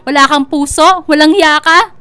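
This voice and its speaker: Protective mother, high-pitched, sharp bright voice, emotional, dramatic radio acting. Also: normal speed, soft background music. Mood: furious, shocked. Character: protective, emotional single mother defending her daughters.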